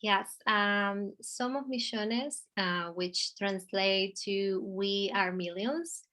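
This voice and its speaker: A woman from Argentina with an Argentinian Spanish accent, an artist in a virtual conversation, talking slowly and haltingly with many restarts. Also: mid-range voice, sharp tone. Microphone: video call.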